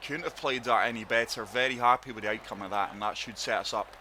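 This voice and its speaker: A man with a sore throat, hosting his gaming channel and narrating a golf game in calm, steady play-by-play. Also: medium pitch, quick pace, slight background noise. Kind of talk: casual gameplay commentary.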